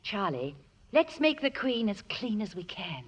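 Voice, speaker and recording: A woman's voice: mid-range voice, very animated, measured pace, husky. An actress playing a prim, devout and brave woman in a classic radio drama, with proper, earnest diction. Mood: earnest, resolute. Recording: dull vintage radio drama recording.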